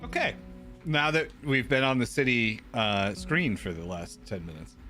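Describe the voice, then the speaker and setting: A man's voice: mid-range voice, expressive, normal speed, pushed and bright. A man running a tabletop game as game master, chatting casually in an easygoing, amused manner. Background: faint music.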